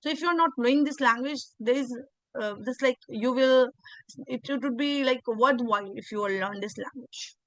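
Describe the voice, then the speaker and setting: A woman's voice: sits high, moderate pace, a bit bright, no echo, poor audio. An English teacher with an Indian accent, a native Hindi speaker, talking earnestly in a video interview and often restarting her sentences. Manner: earnest, repeats words, frequent restarts. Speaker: English teacher and channel host.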